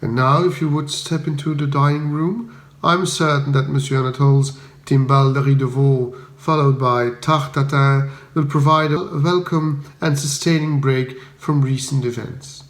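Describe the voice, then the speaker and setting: A man voicing a shrewd, deferential English valet in a comic audiobook, speaking in a measured, formal and courteous way. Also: mid-range voice, steady tone, roomy sound, natural voice. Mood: composed, tactful.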